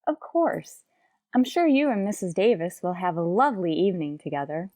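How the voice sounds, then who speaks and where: A woman playing a quick-witted schoolteacher with dry, sardonic asides in a radio comedy. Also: lowish voice, lively intonation, warm tone, dry recording, natural voice. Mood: polite, wry. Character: wry, quick-witted female schoolteacher fending off suitor. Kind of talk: old-time radio comedy acting.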